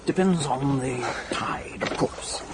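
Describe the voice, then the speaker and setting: An actress playing a brisk, opinionated, practical English woman in a radio drama, with a no-nonsense manner. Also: a bass voice, background noise. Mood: brisk, chatty.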